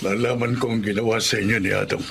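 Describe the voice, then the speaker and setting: A man in a radio drama who speaks in a measured, formal way and also reads the credits. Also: on the low side, level tone, gravelly, background noise. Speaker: radio drama actor and announcer. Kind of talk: radio drama acting and announcing.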